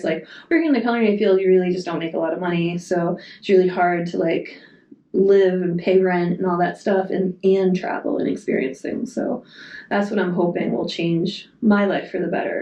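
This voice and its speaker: A woman's voice: fairly low pitch, mellow, roomy sound, highs cut off. A woman from Massachusetts telling her life story in a casual interview, rambling and matter-of-fact, with plenty of fillers. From Massachusetts, USA. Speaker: Antarctic program support worker.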